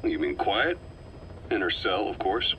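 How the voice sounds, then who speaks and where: An actor playing a calm, calculating middle-aged military officer in a video game, speaking with measured, persuasive assurance. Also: male voice, low-pitched, slight background noise, full character voice, rough recording. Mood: assured, reasoning. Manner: measured, assured, reasoning patiently. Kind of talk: dramatic game voice acting.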